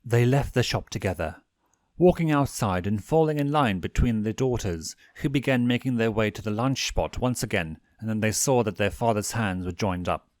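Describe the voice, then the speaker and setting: A man narrating a scripted story in an expressive audiobook style. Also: fairly low pitch, normal speed, no room sound, clean studio sound. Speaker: fan fiction reader and narrator.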